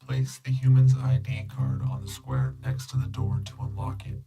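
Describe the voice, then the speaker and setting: A man voicing a calm robotic scanner AI in a sci-fi audio story, measured and deadpan as it gives advice. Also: medium pitch, vocal fry, husky, faint music behind, rough recording. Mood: calm, advisory. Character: calm robotic scanner AI companion, advising its owner.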